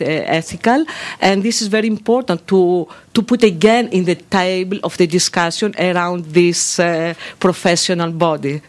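A woman from Greece with a Greek accent, speaking English as a second language and making an earnest, halting comment from the audience. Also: medium pitch, darkish tone. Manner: earnest, halting non-native phrasing. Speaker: audience member asking a question. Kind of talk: comment from the floor.

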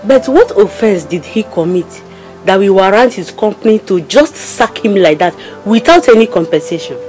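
A woman's voice: mid-range voice, animated, moderate pace, a little mellow, soft background music, natural voice, rough recording. A woman playing a shocked, sympathetic and inquisitive friend in a film drama, exclaiming and questioning in a Nigerian accent. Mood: shocked concern. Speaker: actor.

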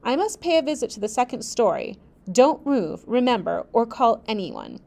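A woman reading aloud, voicing a brusque, commanding adult gentleman who barks orders. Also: fairly high pitch, very animated, normal speed, vocal fry.

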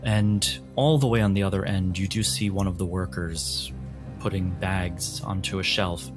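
A man with a low voice runs a tabletop game. He narrates scenes deliberately, phrase by phrase, in an atmospheric style over faint background music. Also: fairly even intonation. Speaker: game master.

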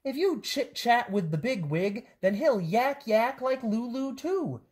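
A woman's voice: a little high, lively intonation, measured pace, a little mellow, breathy edge, pitched above the performer's own voice. A woman voicing a bossy, conspiratorial female school nurse with emphatic, rhyming silly talk. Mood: urgent.

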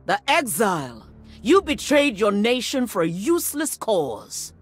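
Imperious warrior matriarch, deliberate and dramatic. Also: female voice, mid-range voice, big pitch swings, breathy edge. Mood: cold, proud. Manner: imperious, measured, menacing. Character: proud ruthless middle-aged warrior matriarch and general. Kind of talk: dramatic video game voice acting. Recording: music under the voice.

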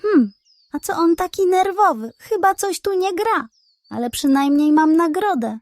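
A woman acts out a gullible storybook hen with a very high voice. She sounds vain and wide-eyed, proud of herself and eager, in a dry, close recording. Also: lively intonation, a little slow, darkish tone, no echo. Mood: puzzled. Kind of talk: children's storybook character voice.

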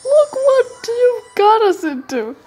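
Woman playing an excitable high-pitched little hermit crab boy. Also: takes it slowly, faint music behind, heavily acted. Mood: upset. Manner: exclaiming, emphatic. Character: young male hermit crab, excitable and plucky. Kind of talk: children's storybook character.

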